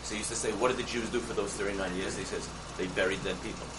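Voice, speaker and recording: A man, a student in a class, adding a long comment that starts halting and then runs on quickly. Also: on the low side, fairly even intonation, distant mic, background noise. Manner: fast, halting start with repeated words.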